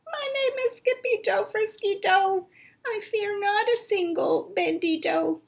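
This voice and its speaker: A woman voicing a boastful young male kitten who plays at being a chihuahua sword fighter, with a squealing, excitable manner and Spanish words mixed in. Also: high voice, measured pace, full character voice. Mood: soft.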